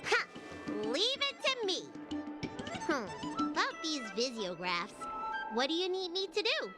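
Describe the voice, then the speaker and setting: A woman voicing a playful, proud, childlike little girl in a video game, with loud music behind her. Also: extremely high pitch, measured pace, exaggerated voice. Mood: cheerfully confident. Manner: childlike, expressive. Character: cute, playful little girl healer, food-loving and proud. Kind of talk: video game character dialogue.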